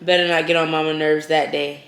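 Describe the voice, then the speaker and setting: A woman's voice: deep voice, level tone, fairly quick. Cousin guest: a woman who talks casually and holds her ground, in an echoey room. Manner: conversational, argues her point firmly. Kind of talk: casual chat.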